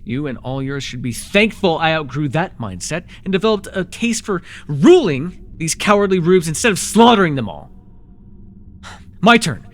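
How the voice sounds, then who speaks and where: A man playing a sly, haughty, taunting dark-magic villain ruler in a fantasy audio roleplay, with a mocking, drawling delivery. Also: a little high, big pitch swings, normal speed. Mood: smug, taunting.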